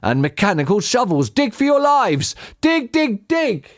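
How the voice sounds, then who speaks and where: A man's voice: on the high side, wildly swooping pitch, moderate pace, pitched above the performer's own voice. A man voicing a brave father fox who urges his family on in a children's storybook. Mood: urgent alarm.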